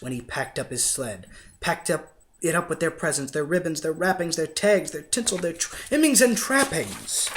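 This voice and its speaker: A man reading a rhyming storybook as a dramatic, theatrical narrator. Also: a little high. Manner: theatrical, expressive. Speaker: storybook reader.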